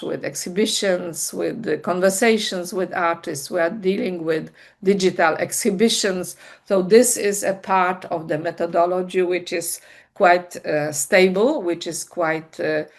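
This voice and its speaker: A woman interview guest giving reflective, explanatory answers, deliberate and halting as she searches for words. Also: lowish voice, gravelly. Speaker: cultural director, interview guest.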